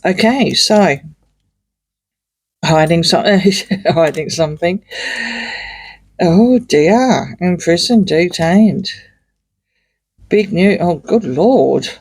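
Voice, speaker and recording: A man with a croaky voice, doing a rambling, hesitant tarot reading full of fillers and restarts. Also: high-pitched, animated, very slow, pauses often, darkish tone, dry recording. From Australia. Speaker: tarot reader. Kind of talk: casual tarot reading monologue.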